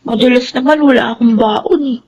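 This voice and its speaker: A woman's voice: a little high, brisk, a little mellow, natural voice. A woman playing a polite, uncomplaining schoolgirl with a Filipino accent in a radio drama. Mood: shy, polite. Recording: dull lo-fi audio, faint noise.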